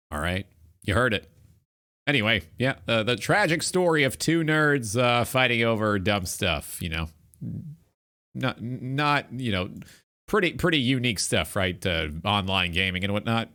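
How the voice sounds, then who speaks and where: A man's voice: lowish voice, sharp tone. A man hosting a gaming talk show in a casual, wry, rambling way, correcting himself as he goes. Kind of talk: casual, profane show chat with loose introductions.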